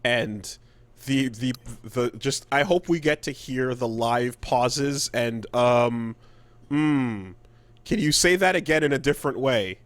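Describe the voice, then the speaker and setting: Male podcast co-host, mid pitch, conversational, halting delivery with repeated words. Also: no echo. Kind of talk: casual gaming podcast chat.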